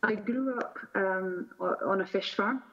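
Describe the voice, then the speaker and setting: A woman's voice: medium pitch, measured pace, fairly bright tone. A woman with a Shetland Scottish accent, giving a conversational address as a conference panelist. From Shetland Islands, Scotland. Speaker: energy sector representative, panel speaker. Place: remote call into a conference. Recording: dull lo-fi video call audio.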